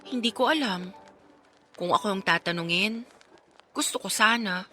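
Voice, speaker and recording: A woman's voice: medium pitch, normal speed, sharp tone, faint music behind, lightly acted. A woman acting as a sensible, frank young woman in a radio drama, speaking in an earnest, conversational way. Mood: sad, reflective.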